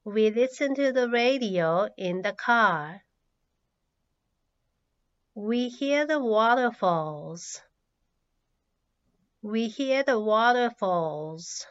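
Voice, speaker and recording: A woman who is a native Japanese speaker, teaching English by reading out words and sentences with deliberate, measured enunciation for learners. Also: medium pitch. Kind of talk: language drill, reading words and sentences twice. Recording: slightly dull, lo-fi audio.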